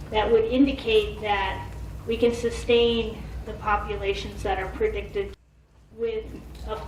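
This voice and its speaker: Measured woman speaking in an echoing hall. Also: mid-range voice, a little mellow. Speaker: audience member asking a question. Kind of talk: formal conference question. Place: colloquium hall at a conference. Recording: roomy hall, distant mic, faint noise.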